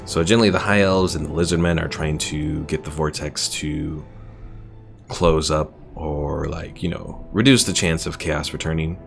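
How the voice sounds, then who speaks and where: A man with a deep, gravelly voice talks casually through a strategy game stream. He rambles, reads viewer messages and lore text aloud. Soft game music sits faintly behind him. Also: steady tone, normal speed. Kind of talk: casual gameplay commentary.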